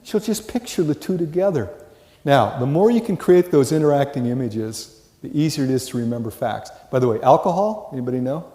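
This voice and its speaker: Animated older American man lecturing in echoey hall. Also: medium pitch, a little fast. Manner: folksy, animated storytelling with rhetorical questions. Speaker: college lecturer. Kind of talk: lively classroom lecture with questions to students. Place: large indoor classroom or lecture hall.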